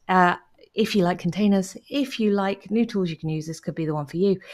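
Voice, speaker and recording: A woman hosting a podcast interview, enthusiastic and playful as she asks her guest questions. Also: medium pitch. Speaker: podcast host.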